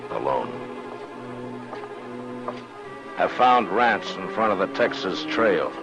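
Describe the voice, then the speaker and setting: An American actor playing a stern, fearless frontier lawman in a 1950s Western radio drama, terse and commanding, narrating his own story. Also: rumbling low. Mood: somber narration. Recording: noisy old radio recording.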